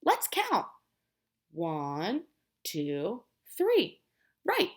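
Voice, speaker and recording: A woman teacher reading a picture book aloud to young children, explaining simply and prompting them with questions in an expressive way. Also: medium pitch, drawn-out words. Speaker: preschool teacher.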